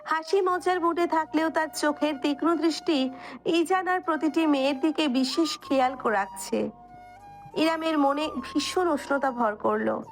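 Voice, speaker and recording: A woman narrates a romantic audio story in a high-pitched voice. She reads from a script, and music plays underneath her. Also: unhurried. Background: background music.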